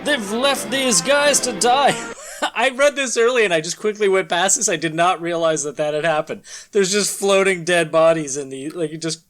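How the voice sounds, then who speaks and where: A man's voice: on the high side, a little fast, fairly bright tone, faint music behind. A man co-hosting a podcast, chatting casually and jokingly as he comments on a comic reading. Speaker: podcast co-host.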